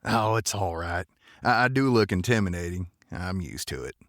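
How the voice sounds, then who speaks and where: Audio drama role: folksy, polite cowboy, gravelly voice with lively swings, shy and gentlemanly. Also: male voice, deep voice, moderate pace, no echo, clean studio sound. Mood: gentle, resigned. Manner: drawling folksy phrasing, polite, hesitant.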